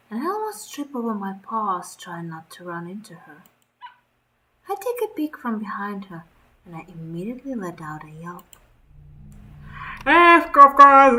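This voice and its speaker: Bright-voiced woman streamer reading aloud. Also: mid-range voice, rough recording. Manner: expressive, sweary. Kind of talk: narration with off-the-cuff reactions.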